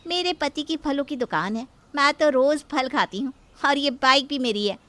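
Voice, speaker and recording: A woman voicing a haughty, quick-tempered and scornful young woman in a cartoon moral story. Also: high voice, no echo. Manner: sharp, scornful, demanding.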